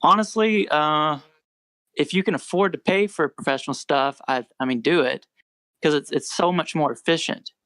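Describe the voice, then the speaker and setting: A quiet-voiced young American man and musician, chatting in a relaxed, rambling way as a podcast guest. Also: fairly high pitch, normal speed, no echo. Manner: rambling, self-correcting, relaxed. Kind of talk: casual podcast interview.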